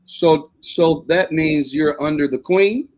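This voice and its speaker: A man with an American accent, interviewing casually as host, folksy and quick to interject and react. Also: fairly high pitch.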